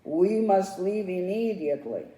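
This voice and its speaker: A woman's voice: medium pitch, expressive, a little slow, mellow, close to an everyday voice. A woman voicing a majestic, commanding magical male ruler in a children's storybook, with a regal manner. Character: majestic golden-haired male Great One, commanding, magical. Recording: roomy echo, distant microphone.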